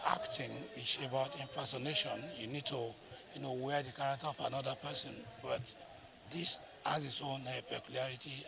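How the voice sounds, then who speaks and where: A Nigerian-accented man, a stage actor, talking reflectively in an interview, deliberate and halting, with frequent pauses and repeated phrases. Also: mid-range voice, creaky.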